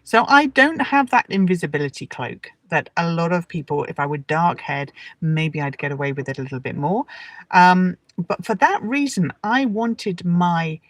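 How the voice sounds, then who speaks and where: A middle-aged woman with an English accent teaching in a relaxed, chatty and encouraging way, speaking directly to her listeners. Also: on the low side, normal speed. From England. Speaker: English teacher hosting a live lesson. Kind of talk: relaxed, chatty livestream talk with personal anecdotes. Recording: roomy echo, distant from the mic.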